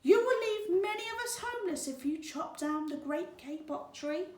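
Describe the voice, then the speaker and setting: A woman voicing a tiny, pleading tree frog with a squeaky, piping voice in a storybook reading. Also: lively intonation, moderate pace, noisy background. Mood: plaintive warning. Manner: piping, plaintive. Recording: roomy, distant microphone.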